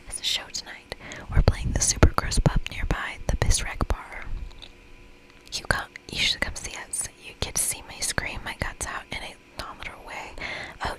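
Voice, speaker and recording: A woman's voice: natural voice, low-quality recording. Woman whispering as a flirty, brash goth witch. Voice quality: whispered, breathy. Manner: teasing, cocky, flirtatious.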